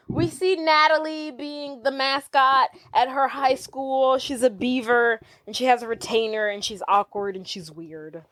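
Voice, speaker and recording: A woman co-hosting a podcast, recapping a movie in a playful, animated, joking way. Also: high-pitched, no echo. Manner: playful, animated, jokey retelling. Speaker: podcast co-host. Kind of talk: casual movie recap chat.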